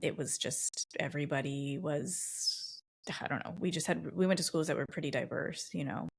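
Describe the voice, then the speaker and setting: A woman's voice: low voice, normal speed, gravelly, slightly rough. A woman from Los Angeles with an American accent, hosting a casual, reflective conversation in a thoughtful, loosely phrased way. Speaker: podcast interviewer.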